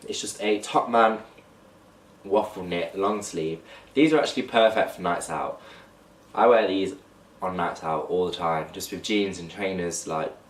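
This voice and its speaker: A man's voice: deep voice, far from the mic. A young man with a slightly blocked, nasal voice, chatting casually to camera in a clothing haul vlog. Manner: chatty, talks to camera while holding up clothes. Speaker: fashion vlogger. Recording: echoey room, voice off-mic.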